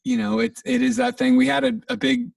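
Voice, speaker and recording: A man's voice: very high-pitched, little pitch movement, brisk, creaky, no room sound, distant mic. A man from a band, chatting easygoingly in a radio interview and trailing off into restarts. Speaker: band member guest. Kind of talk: casual interview chat. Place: band rehearsal room.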